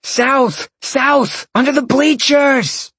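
A man's voice: high voice, moderate pace, dry recording, pitched above the performer's own voice. A man voicing an irritable, defensive spell-tracking henchman with a snappish manner in an audiobook story. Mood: urgent.

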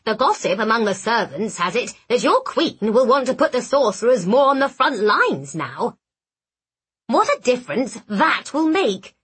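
A woman voicing a fussy, brisk but affectionate little male hobgoblin in an audiobook. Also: a little high, very animated, a bit bright, husky, exaggerated voice. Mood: irritated, scolding. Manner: irritated, scolding yet fond. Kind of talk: audiobook character voice.

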